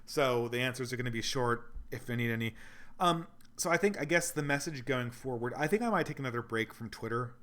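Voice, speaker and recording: A man's voice: mid-range voice, fairly quick, fairly bright tone. A man hosting a casual livestream Q&A, losing his voice, rambling earnestly and thinking aloud as he answers viewers. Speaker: livestream host reading donations.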